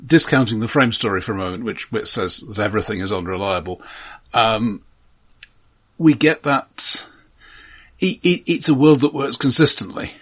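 Husky, low-voiced man discussing film. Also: lively intonation, fairly bright tone. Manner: measured, analytical, hesitant. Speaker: film podcast host.

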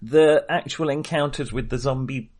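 A man's voice: medium pitch, moderate pace, darkish tone. A man in a casual film discussion, thinking aloud hesitantly and often correcting himself. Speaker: film podcast host.

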